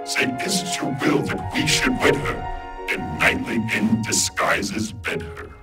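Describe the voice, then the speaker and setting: A man's voice: a little slow, natural voice. A man playing a grand, majestic emperor, declaiming verse ceremonially in an audio farce. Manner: ceremonial, pompous. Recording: echoey, distant, music behind.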